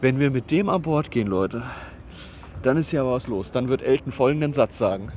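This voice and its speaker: A man's voice: medium pitch, animated, brisk. Sarcastic male travel podcaster, noisy street. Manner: sarcastic, teasing, joking. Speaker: podcast host recording travel voice messages. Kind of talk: casual travel commentary. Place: outdoors in a busy city. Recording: noisy outdoor street with traffic.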